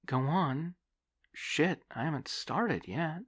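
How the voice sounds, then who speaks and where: A man voicing a bitter young woman from the Deep South with a smoky voice and blunt, cursing talk. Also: expressive, slow pace, fairly bright tone, husky, dry recording, clean studio sound, full character voice. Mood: irritated. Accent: Deep South American. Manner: tough and blunt, swears.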